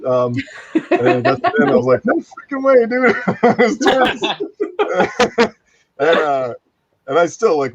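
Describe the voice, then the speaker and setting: A man's voice: mid-range voice, no echo, lo-fi sound. A man guest on a podcast chatting casually and enthusiastically, laughing often as he praises his castmates. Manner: enthusiastic, laughing, repeats "freaking". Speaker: voice actor guest.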